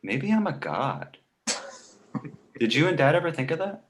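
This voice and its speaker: A man playing a precocious talking baby boy, sardonic, indignant and pedantic, in an animated comedy table read. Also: on the high side, unhurried. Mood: cocky, teasing. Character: precocious, snarky two-month-old talking baby boy.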